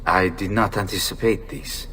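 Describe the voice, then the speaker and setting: Game father: commanding middle-aged Italian gentleman, deep voice, Italian accent. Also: fairly even intonation, normal speed, slight background noise. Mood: businesslike. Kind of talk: video game cutscene acting.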